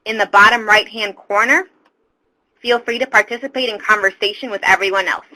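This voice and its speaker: A woman's voice: mid-range voice, fairly even intonation, a little fast, sharp tone, low-quality recording. A woman reads polite, scripted housekeeping notes at the start of a webinar as its moderator.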